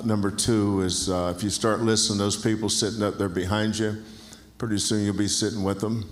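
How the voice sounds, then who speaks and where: A man in his sixties from North Carolina, a basketball coach, telling folksy stories in an onstage interview and often restarting phrases. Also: fairly low pitch. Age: 60s. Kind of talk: onstage interview at an awards banquet.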